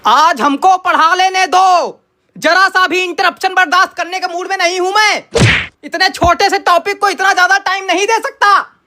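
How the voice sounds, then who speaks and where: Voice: very high-pitched, steady tone, moderate pace, sharp tone. A performer voicing an irritable male maths teacher in a comic cartoon, grumbling sarcastically in colloquial speech. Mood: exasperated. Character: exasperated male maths tutor teaching an online class.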